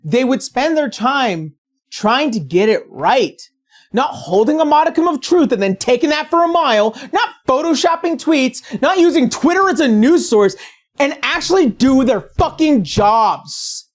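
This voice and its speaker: Sarcastic woman doing a video essay rant, higher voice, really swoopy delivery, sweary and blunt. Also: animated, a little slow. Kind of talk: scripted opinionated commentary.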